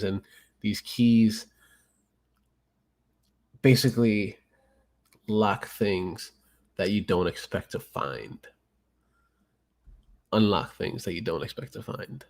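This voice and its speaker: A man with a fairly low voice hosting a podcast. He talks loosely, full of "um" and "like", and swears freely as he recommends things. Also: takes it slowly, vocal fry, long pauses, darkish tone. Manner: loose, profane, filler-heavy. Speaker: podcast host. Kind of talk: casual podcast chat.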